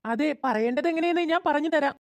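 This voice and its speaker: A performer voicing a shy young man in a cartoon, coaxing and pleading in a pitched-up voice. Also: fast talker, dry recording. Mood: bashful pleading. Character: shy, coaxing young bachelor older brother. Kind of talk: cartoon voice acting.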